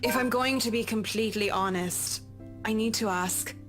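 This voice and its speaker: Casting: woman playing candid, wry young designer in a story game, voice on the higher side. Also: normal speed, over music, lightly acted. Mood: frank. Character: young professional woman, frank and sardonic interior designer. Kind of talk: visual novel game acting.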